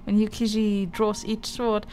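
A woman's voice: mid-range voice, level tone, measured pace, slight background noise, poor audio. A woman doing casual, exasperated, sweary let's play commentary and reading on-screen text aloud. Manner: sweary, exasperated banter with the game. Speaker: gaming video host. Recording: loud game music behind. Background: game music.

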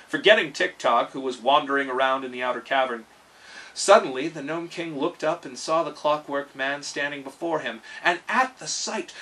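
Bright-voiced man reading a storybook. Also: fairly high pitch, recorded from a distance, rough recording. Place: small living room, indoors.

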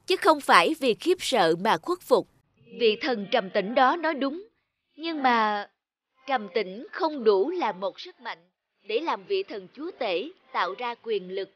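A woman voicing a young goddess of music in a cartoon, gentle, measured and earnest. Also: on the high side. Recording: music under the voice.

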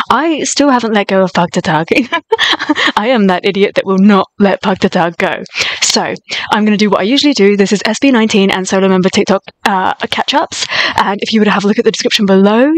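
A young woman chats excitedly to camera while reacting to clips, gushing and breaking into laughter. Her voice is a little nasal from a cold. The sound is dry and close, recorded in a small room. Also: mid-range voice, dry recording. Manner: bubbly, gushing, laughs often. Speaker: vlogger reacting to videos. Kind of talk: casual reaction chat.

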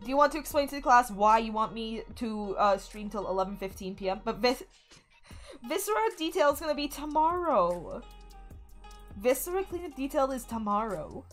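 A woman's voice: a little high, expressive, normal speed, faint music behind. A young woman streaming a game, chatting casually and bubbly with her viewers. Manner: bubbly, chatty, talks to chat. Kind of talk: casual gaming stream chat.

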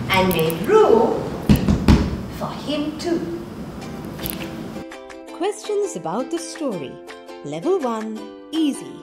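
A young woman reading to children as a storyteller, deliberate, teacherly and very expressive. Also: on the high side. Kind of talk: scripted children's read-aloud and questions. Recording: music behind. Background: music.

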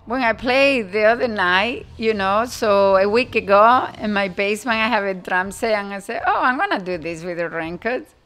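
A woman's voice: medium pitch, moderate pace, few pauses, clean tone. An older woman from Spain with a Spanish accent, talking casually and animatedly about her life as a guest in an interview. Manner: animated, imitates drum beats with her mouth. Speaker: guest musician.

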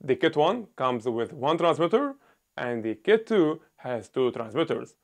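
A man in his thirties giving a scripted gear review on a small indoor set, talking loudly and emphatically into a lapel mic. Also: mid-range voice, very animated, measured pace. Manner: loud, emphatic delivery.

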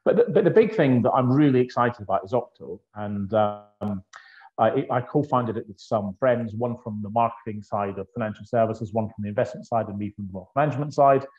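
A Scottish man in his fifties talks as a guest on a video call, with a lowish voice. He tells his story in a chatty, anecdotal way. The sound is muffled and lo-fi. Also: fairly quick, darkish tone. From northeast Scotland. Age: 50s. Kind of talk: conversational interview. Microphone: earphone mic on a video call. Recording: dull lo-fi video call audio.

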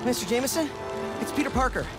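An actor with an American accent playing a timid, earnest, nerdy young photographer in a video game, hesitant and apologetic. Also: male voice, very high-pitched, animated, fast, loud music behind the voice. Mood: nervous, apologetic. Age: young adult.

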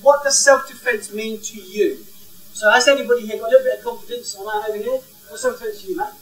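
A man's voice: very high-pitched, quick pace. A man in his thirties, the head of a school, walks around without a mic, asking the audience questions and leading an icebreaker. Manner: energetic, walks around gesturing, asks the crowd questions. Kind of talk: interactive talk without a microphone. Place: large indoor hall. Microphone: no mic, far camera. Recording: roomy hall from a distance.

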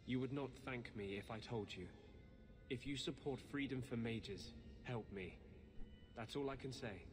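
An actor playing an intense, secretive rebel mage in a video game, speaking in a grave, earnest, insistent way. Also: male voice, medium pitch, a little slow, music in the background, lightly acted. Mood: guarded, pleading. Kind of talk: dramatic video game acting. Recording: game audio with clear background ambience.

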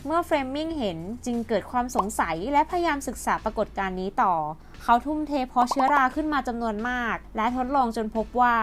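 A woman's voice: on the high side, expressive, brisk, hardly any pauses, slight background noise. A woman hosting and narrating a scripted educational piece, explaining politely to her viewers. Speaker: host and narrator.

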